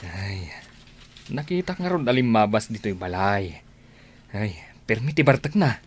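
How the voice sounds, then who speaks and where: An actor playing a familiar, concerned older brother in a radio drama, with an Ilocano accent. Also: male voice, fairly low pitch, animated, measured pace. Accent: Ilocano, northern Philippines. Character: concerned older brother of a young woman, teasing host.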